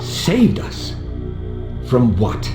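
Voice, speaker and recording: A man playing a bitter, vengeful vampire wraith in a video game, measured, eloquent and theatrical. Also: mid-range voice, wildly swooping pitch, full character voice. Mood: cold, vengeful. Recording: echoing, music underneath.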